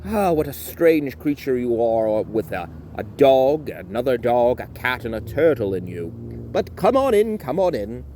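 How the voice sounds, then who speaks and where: A man voicing a haughty, bored male mermaid gate guard in a high sing-song voice, as part of a spoken bedtime story. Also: big pitch swings, a little slow, mellow, slight background noise. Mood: impressed.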